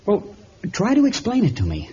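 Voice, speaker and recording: A man's voice: sits high, wildly swooping pitch, moderate pace, dark tone. Exasperated showman, swooping intonation. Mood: pleading. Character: exasperated, pleading showman in love, an adult man. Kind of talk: vintage radio melodrama acting. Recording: dull, lightly noisy old radio recording.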